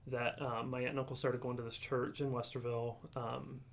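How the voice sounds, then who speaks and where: A man in his forties from Ohio, telling his faith story in a halting, reflective way with many pauses and ums. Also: mid-range voice, little pitch movement, vocal fry. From Ohio, USA. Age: 40s. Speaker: guest sharing testimony. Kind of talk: casual conversational testimony.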